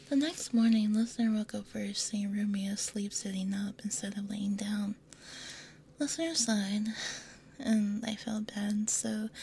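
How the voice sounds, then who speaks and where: A woman narrating a bedtime story in ASMR style, in a hushed, gentle whisper close to a binaural microphone. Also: mid-range voice, creaky.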